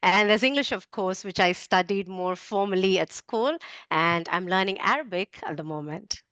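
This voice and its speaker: A woman whose first language is Urdu talks fluently and cheerfully about her background. Her voice is smooth and crisp. The recording is dry and slightly dull. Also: medium pitch, normal speed, fairly bright tone, dry recording. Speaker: English teacher.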